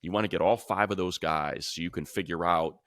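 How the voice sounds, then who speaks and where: A man's voice: deep voice, a little fast, a bit bright, no echo, clean studio sound. An American man co-hosting a sports podcast, talking casually and emphatically in a conversational way. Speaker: sports podcast co-host and commentator.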